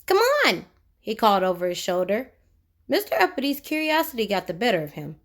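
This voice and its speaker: A woman reading a children's story aloud expressively, with dramatic emphasis and drawn-out key words. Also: mid-range voice, big pitch swings.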